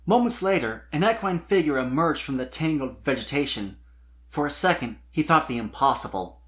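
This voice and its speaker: Fan fiction narrator, medium pitch, scripted storytelling, dramatic delivery. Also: expressive, moderate pace, fairly bright tone.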